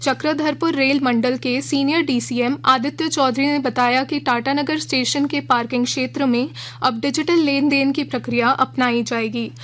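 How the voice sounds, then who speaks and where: A woman reading a regional radio news bulletin in a measured, formal way from a studio. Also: high-pitched. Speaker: news reader.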